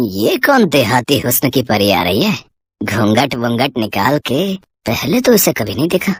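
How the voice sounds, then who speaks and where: Woman voicing a leering low-voiced male tailor. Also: big pitch swings, unhurried, dry recording, full character voice. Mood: leering, scheming. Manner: leering, smooth-talking, boastful. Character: lecherous, sly male village tailor, oily and wheedling. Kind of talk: exaggerated cartoon story voice acting.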